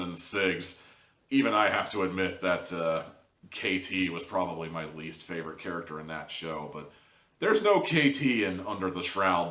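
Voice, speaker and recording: A man with a notably low voice gives a casual, chatty review to the listener. His delivery is informal and peppered with emphatic asides. The recording sounds clearly roomy and echoey. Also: pushed and bright. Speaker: host and reviewer of audio dramas.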